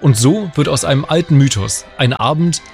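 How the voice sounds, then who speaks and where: A man's voice: on the high side, lively intonation, normal speed, vocal fry, a little mellow. A man narrating a scripted regional culture news report as its reporter. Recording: voice-over with music underneath. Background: music.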